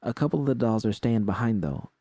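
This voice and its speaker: A man's voice: on the low side, animated, quick pace, warm tone, no room sound. A man voicing an anxious young woman in a story, worried and hesitant as she seeks reassurance.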